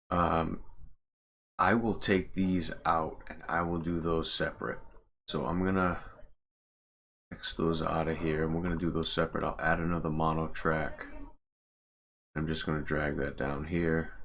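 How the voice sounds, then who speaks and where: A very low-pitched man talks through a screen tutorial in a casual way, thinking aloud as he works. His voice has a gravelly fry, and there is faint noise behind him. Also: a bit bright. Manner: thinking aloud while working. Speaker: game mod creator and host.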